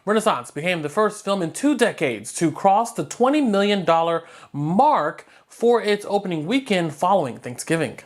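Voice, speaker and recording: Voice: medium pitch, animated, normal speed, few pauses. American news anchor reading a bulletin. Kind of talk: scripted news bulletin narration.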